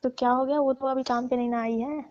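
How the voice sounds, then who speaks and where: Soft mellow woman, pleading on a dull roomy phone recording. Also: sits high, steady tone, fast. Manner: pleading, deferential. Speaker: domestic worker on a call with employer. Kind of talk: private phone conversation.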